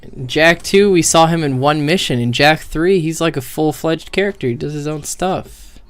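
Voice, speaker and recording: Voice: mid-range voice, moderate pace. A streamer giving casual, rambling game commentary in a blunt, profane way, full of "like", into a microphone with the gain turned up. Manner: rambling, heavy use of "like". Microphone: streaming microphone with high gain. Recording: close, dry, hot mic gain.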